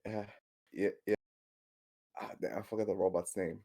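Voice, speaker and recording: Low, gravelly, hesitant man. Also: fairly even intonation, mellow. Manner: hesitant, halting. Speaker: tabletop game player. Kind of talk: casual roleplaying game chat.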